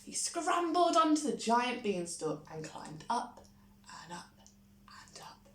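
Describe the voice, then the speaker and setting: A woman reading a children's picture book expressively, with dramatic pauses and little asides. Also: on the high side, sing-song, a bit bright. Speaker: primary school story reader. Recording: roomy echo, faint background noise.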